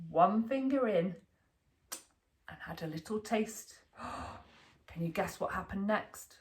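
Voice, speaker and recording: A woman narrates a children's picture book with lots of expression. Her voice is fairly high and very lively in pitch, and she takes her time over each phrase. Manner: animated, deliberate storytelling for young children. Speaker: storybook reader, school staff.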